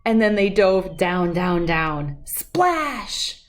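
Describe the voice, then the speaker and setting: A woman speaking as a children's read-aloud host, expressive and storybook-like, with drawn-out emphasis. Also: on the low side, faint music behind. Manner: slow, animated delivery with drawn-out emphasis for young listeners.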